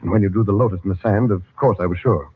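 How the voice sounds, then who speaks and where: A husky, commanding mystic uncle. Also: male voice, sits low. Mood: intent, probing. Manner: brisk, questioning, in command. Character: authoritative, kindly uncle, secret mystic investigator. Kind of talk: old-time radio drama acting. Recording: tinny band-limited old radio recording.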